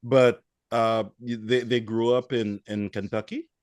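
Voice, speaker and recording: A man's voice: medium pitch, flat delivery, measured pace. A man from Guyana on a casual podcast, starting over several times before getting a question out. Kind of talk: casual chat.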